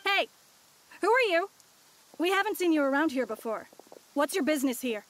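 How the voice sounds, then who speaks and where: A woman's voice: high-pitched, expressive, normal speed, low-quality recording. A woman playing a brusque, suspicious forest ranger in a video game, with a challenging, indignant, no-nonsense manner. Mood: suspicious, stern.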